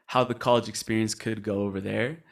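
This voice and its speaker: A man's voice: on the low side, steady tone, brisk, gravelly. A man in his twenties from Colombia, a podcast guest telling his story in an earnest, reflective way. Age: 20s. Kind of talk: casual podcast interview.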